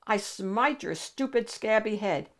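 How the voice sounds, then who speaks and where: A fearless storybook knight, put on by a woman reader. He is haughty and pompous, declaiming his rhymed challenges at a measured pace. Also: medium pitch, expressive, pitched above the performer's own voice, close to an everyday voice. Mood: scornful. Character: fearless, haughty armored knight guarding a castle. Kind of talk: storybook character voice.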